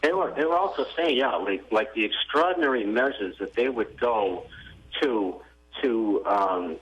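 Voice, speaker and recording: A man's voice: medium pitch, expressive, a little slow, a bit bright, muffled recording. A man co-hosting a radio talk show, speaking in a conversational, skeptical way with many hesitations. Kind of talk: casual radio chat. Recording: AM radio broadcast.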